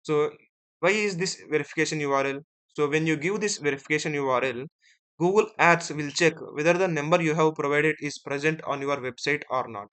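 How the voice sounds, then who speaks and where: Man giving a step-by-step software tutorial. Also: a little high, a little fast, smooth. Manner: step-by-step, often ending phrases with "right?" and "okay". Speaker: instructor. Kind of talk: screen-share software tutorial.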